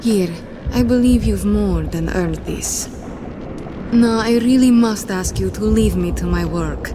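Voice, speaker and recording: A woman's voice: medium pitch, unhurried, noisy background, natural voice. An actress playing a genteel lady of a manor in a video game, polished, condescending and playfully courteous. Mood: mock-gracious. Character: genteel, coyly mocking lady of a gloomy manor. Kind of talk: video game character acting.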